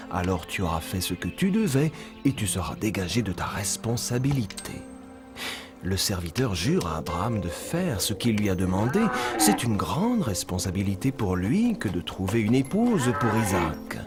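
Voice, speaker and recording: A man playing a kindly storytelling mentor in a children's cartoon dub, with measured, instructive delivery. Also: lowish voice. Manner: measured, expressive, instructive narration. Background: music behind the voice.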